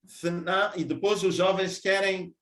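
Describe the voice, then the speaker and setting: A man's voice: high-pitched, steady tone, moderate pace, fairly bright tone. A man from Mozambique with a Mozambican Portuguese accent, a guest teacher chatting informally over a video call. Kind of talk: casual video call talk.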